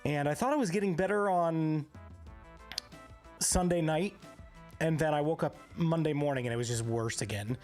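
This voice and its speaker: A live game streamer in casual chat with viewers, a bit hoarse from recovering from illness, upbeat and greeting people by name one after another. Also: mid-range voice, normal speed, pauses often, darkish tone, faint music behind.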